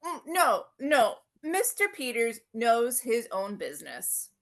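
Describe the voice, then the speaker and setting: A woman's voice: a little high, sing-song, a bit bright, full character voice. A woman doing a vague old lord. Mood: distracted. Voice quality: distracted, halting. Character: vague, absent-minded older aristocratic gentleman. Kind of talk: radio play acting.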